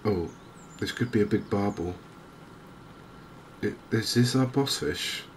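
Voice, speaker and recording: Softly spoken low-voiced man, unhurried. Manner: gentle, unhurried, musing. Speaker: gaming video host. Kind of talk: softly spoken cozy ASMR gaming commentary. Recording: clear steady ambient background noise.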